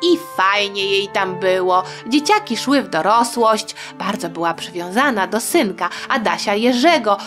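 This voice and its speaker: A woman hosting a history show for children, telling the story in a playful, colloquial, chatty way with expressive emphasis. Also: mid-range voice, a little slow, few pauses. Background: faint music.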